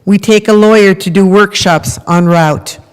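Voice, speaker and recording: A woman's voice: medium pitch, a little slow, clear, resonant tone, natural voice. A middle-aged woman playing a prisoner's earnest mother, reading a monologue from a script in readers theater. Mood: earnest, resolute. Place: large temple hall, at a lectern. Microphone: microphone on a stand at lectern. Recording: reverberant hall recording.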